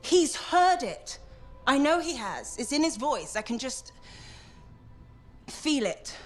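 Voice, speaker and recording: A woman's voice: high voice, big pitch swings, slow, faint music behind, exaggerated voice. A woman playing an earnest, intense mission operator in a game cutscene, urgent and insistent. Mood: fervent. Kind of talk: video game cutscene acting. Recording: faint background noise and ambience.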